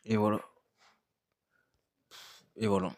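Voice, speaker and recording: A man with a fairly low voice and a close, dry sound. He comments casually on clips, repeats his fillers and lectures his viewers. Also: flat delivery, slow, no echo. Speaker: reaction video host.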